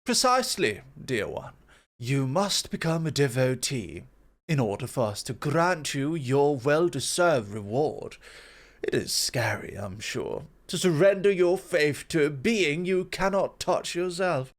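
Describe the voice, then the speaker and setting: A man voicing a calm, reasoning male god in an ASMR roleplay, measured and explanatory with gentle amusement. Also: fairly high pitch. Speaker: guest voice actor.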